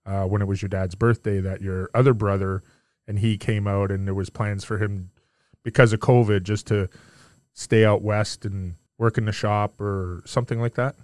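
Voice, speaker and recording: Male podcast host, low resonant voice, friendly and unhurried, dry close mic. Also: fairly even intonation, darkish tone, dry recording. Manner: friendly, easygoing interviewer with frequent fillers. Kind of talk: casual podcast chat.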